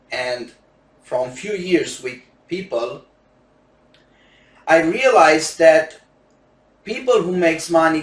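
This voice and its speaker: A man with a Romanian accent, a native Romanian speaker using broken English, giving a slow, emphatic sales pitch for roulette courses. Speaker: roulette instructor selling courses. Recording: echoey room, distant mic.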